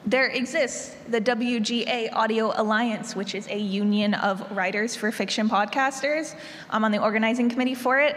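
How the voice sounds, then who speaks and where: A woman's voice: medium pitch, a bit bright. A woman speaking as an advocate on a panel, recorded in an echoey, noisy room. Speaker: panelist, union organizer.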